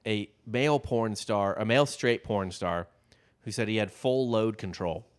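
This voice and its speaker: A man's voice: fairly low pitch, a little slow, clear, resonant tone. A man co-hosting a casual comedic history podcast, loose and profane, laughing easily as he tells the story. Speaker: podcast co-host telling the story.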